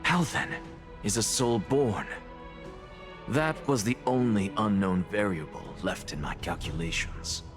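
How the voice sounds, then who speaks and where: Man playing a slow, theatrical, arrogant scholar. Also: fairly low pitch, over music, natural voice. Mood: intense, certain. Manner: deliberate, grandiose, lecturing. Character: arrogant, theatrical male scholar professor, coolly obsessed with truth. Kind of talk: dramatic video game voice acting.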